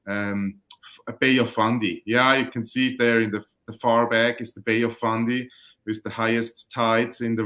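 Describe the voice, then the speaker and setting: A man from Switzerland, a winemaker, chatting relaxed and rambling on a video call, often correcting himself mid-sentence. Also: medium pitch. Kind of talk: casual video call interview. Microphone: webcam and computer mic on a video call.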